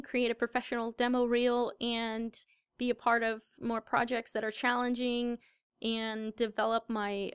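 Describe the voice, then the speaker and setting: Very clear, young-sounding American woman. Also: on the high side, no echo, clean studio sound. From Southern United States. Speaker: voice actor being interviewed. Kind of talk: interview answers.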